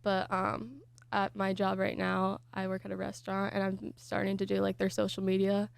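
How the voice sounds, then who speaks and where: A young woman gives shy, short answers about her design work and trails off with fillers. Her voice is heavily gravelly with vocal fry. The sound is close and dry. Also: medium pitch, monotone, moderate pace, no echo. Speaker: graphic design student. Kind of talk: interview answers.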